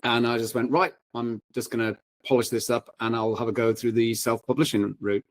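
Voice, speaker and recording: A man telling anecdotes as a panel guest, informal and halting, often correcting himself as he goes. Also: mid-range voice, level tone. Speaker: panel guest, self-published author. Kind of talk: casual anecdotal chat.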